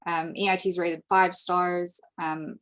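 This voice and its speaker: An American woman from the United States giving a webinar presentation over slides, steady and informative, with frequent ums. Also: lowish voice, unhurried. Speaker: international recruitment presenter.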